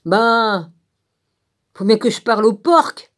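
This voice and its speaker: A woman's voice: a little high, animated, takes it slowly. A woman on a rambling informal rant, blunt and sarcastic, with crude colloquial phrasing and frequent rhetorical tags. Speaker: vlogger.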